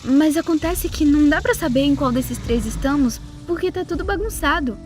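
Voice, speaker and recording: A high voice over faint music: an actress as a serious female survivor in an audio drama. She is Brazilian in accent and explains things in an analytical, matter-of-fact way. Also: normal speed, a little mellow, natural voice. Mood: puzzled, explaining.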